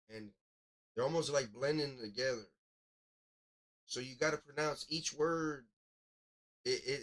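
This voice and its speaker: A man hosting a music review, giving casual live critique in a halting, think-aloud way with repeated catchphrases. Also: medium pitch, bright, forceful tone, low-quality recording. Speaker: music review show host.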